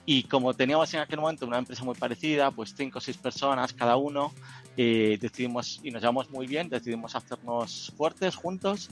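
A man with a Spanish accent from Spain, a company founder telling his story in a rambling, talkative way with lots of fillers. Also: mid-range voice. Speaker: guest, software company founder. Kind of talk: casual interview talk.

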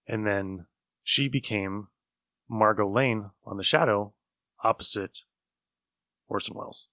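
A man's voice: on the low side, takes it slowly, sharp tone, dry recording, clean studio sound. A man hosting a podcast in a casual, informal and emphatic chatting style. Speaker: podcast host. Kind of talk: casual chat about old radio shows.

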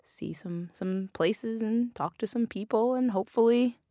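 A young woman, a bike racer, talking casually as a guest in an interview, naturally loud and laughing often. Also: on the high side, unhurried, dry recording, clean studio sound.

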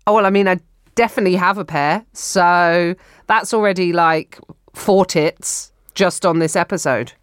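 Low-voiced, rambling bawdy comedian. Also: female voice, normal speed, clear, resonant tone, no echo, studio quality. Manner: rambling, stammering restarts, bawdy. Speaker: guest co-host. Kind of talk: improvised comedy banter. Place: studio in New York.

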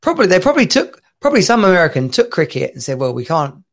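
Male stream co-host, slightly higher voice with gravel, rambling casual banter. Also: very animated, quick pace. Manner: rambling, chatty, laughs often. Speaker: podcast co-host. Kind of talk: casual live-stream chat.

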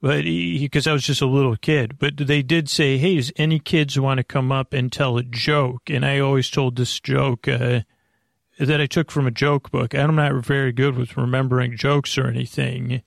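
An American man hosting a sleep podcast, talking in a meandering, hesitant, reassuring monologue with a gravelly voice. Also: medium pitch, steady tone, moderate pace, no room sound, studio quality. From United States. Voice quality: creaky, gravelly. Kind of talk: rambling casual monologue.

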